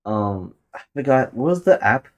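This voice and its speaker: Young man, solo podcast host, loose rambling chatter, casual swearing, mid-pitched voice. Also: animated, moderate pace, lo-fi sound. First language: Tagalog. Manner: rambling, loose and profane, laughs at his own jokes.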